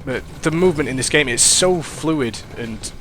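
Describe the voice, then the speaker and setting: A man's voice: a little high, moderate pace. A young man, congested and sniffly, commentating casually over his own gameplay, rambling and correcting himself as he goes. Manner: rambling, self-correcting, excitable reactions. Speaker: gaming commentator.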